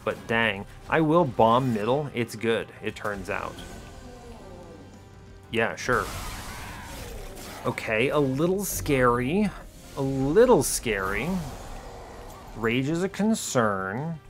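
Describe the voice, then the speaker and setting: A man's voice: medium pitch, big pitch swings, takes it slowly, long pauses. A man hosting a gaming video, giving casual play-by-play in short, understated, dry remarks. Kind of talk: casual gameplay commentary. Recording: music behind the voice.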